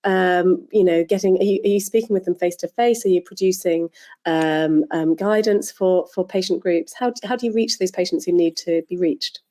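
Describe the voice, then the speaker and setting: A woman's voice: lowish voice, normal speed, dark tone. A woman hosting an online interview, asking conversational, sympathetic questions.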